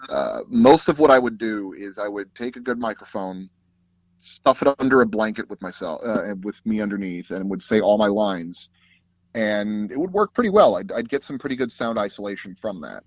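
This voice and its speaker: Animated man storytelling, dull lo-fi sound. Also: fairly low pitch, moderate pace, no echo. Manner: animated, acts out dialogue in anecdotes. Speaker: guest, voice actor and audio drama producer. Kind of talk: casual interview storytelling.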